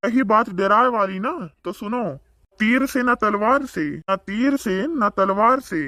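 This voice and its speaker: A man's voice: high voice, measured pace, sharp tone, dry recording, clean studio sound. A man voicing a boastful, bickering village man in a comedy cartoon, speaking with an Awadhi accent and taunting banter. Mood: mocking. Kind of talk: comedic cartoon dialogue in rural dialect.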